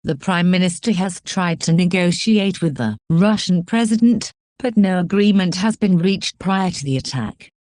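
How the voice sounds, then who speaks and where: A woman reading a scripted emergency broadcast as the announcer, in a formal, measured manner. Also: lowish voice, mellow, no room sound, studio quality.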